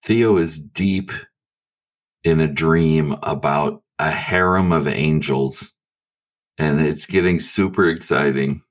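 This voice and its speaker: A man playing a tabletop game, telling his character's actions with slow amusement. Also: very deep voice. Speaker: player.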